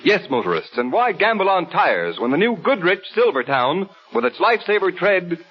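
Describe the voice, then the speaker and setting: High-pitched, animated 1930s radio announcer, male. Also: normal speed, slightly rough. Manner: emphatic, declamatory. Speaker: radio announcer reading a sponsor ad. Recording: dull 1930s radio recording.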